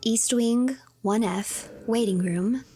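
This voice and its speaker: A woman's voice: on the high side, takes it slowly, mellow, faint music behind. Woman narrating a game like a storyteller. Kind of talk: scripted story narration and reading files aloud.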